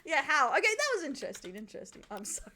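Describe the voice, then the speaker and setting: A high-voiced Australian woman streaming a live reaction, with very lively swings in pitch. She is chatty and giggly, swearing bluntly as she comments. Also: rapid-fire.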